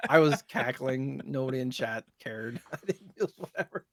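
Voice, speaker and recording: A man's voice: mid-range voice, expressive, normal speed, gravelly, no echo. A man co-hosting a podcast in casual chat, telling rambling, joking stories. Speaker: podcast co-host and streamer.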